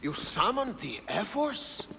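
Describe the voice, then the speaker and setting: High, gravelly, haughty Spartan king. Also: big pitch swings, slow pace, bright, forceful tone, a little hiss or hum, full character voice. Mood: impatient. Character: haughty Spartan king, impatient, dismissive, regal. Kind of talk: video game voice acting.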